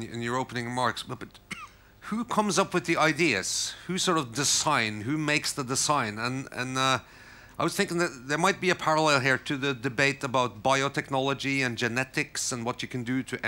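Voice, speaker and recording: Guy moderating a panel, bright clear tone, halting questions with lots of uhs. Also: mid-range voice. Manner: halting questions with many fillers. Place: conference hall.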